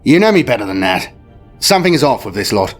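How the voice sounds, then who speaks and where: A man's voice: medium pitch, sing-song, fast, voice pushed up. A man voicing a shrewd veteran fleet admiral in an audio war story, with clipped, declamatory military phrasing. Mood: shrewd, resolute. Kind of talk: dramatic audio story character. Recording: music behind the voice. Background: music.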